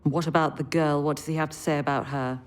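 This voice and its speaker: An actress playing a stern, skeptical intelligence chief in a video game cutscene, probing and authoritative. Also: female voice, deep voice, fairly even intonation, fast talker, lightly acted. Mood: skeptical, firm. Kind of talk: cinematic video game acting.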